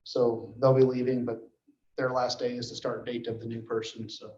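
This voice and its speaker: A man giving an informal report at a meeting, speaking haltingly and often clearing his throat. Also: mid-range voice, level tone, a little mellow, slight background noise. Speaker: dispatch director reporting to board. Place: indoor meeting room. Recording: muffled, echoey meeting room recording.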